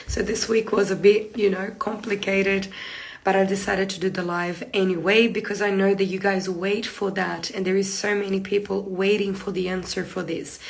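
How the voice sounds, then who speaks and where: A hoarse woman in her thirties talks hairdressers through a live tutorial, seated close to a phone in a small room. Her voice is gravelly and rough from a cold, and she circles back to her points in a chatty way. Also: mid-range voice. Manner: chatty, repeats points, gestures while talking. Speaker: hair treatment educator. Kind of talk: live-streamed tutorial talk. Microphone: phone camera close in front.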